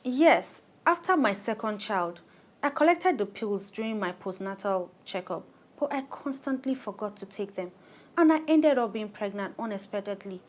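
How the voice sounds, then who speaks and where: An actress plays a Nigerian mother of about thirty in a radio drama. She is harried yet firm, a woman speaking frankly and insistently in an earnest, mid-pitched voice. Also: lively intonation, normal speed, natural voice. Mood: frank, matter-of-fact. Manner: earnest, plain-spoken, insistent. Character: harried, determined thirty-year-old mother of five daughters, firm and frank. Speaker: clinic client in an educational radio play.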